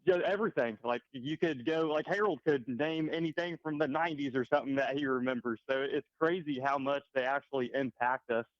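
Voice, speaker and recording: A man's voice: mid-range voice, a little fast, few pauses, no room sound, dull audio. A young man, a race car driver, chatting casually on a podcast and filling his talk with "like". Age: young adult. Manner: casual, heavy on "like".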